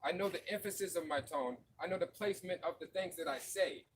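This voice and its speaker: A man's voice: sits high, fairly quick, a little hiss or hum. A man from Florida speaking fervently and profanely to fellow marchers outdoors at night, in slangy everyday speech. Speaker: protest marcher. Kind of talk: impassioned street speech. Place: outdoors at a night street protest.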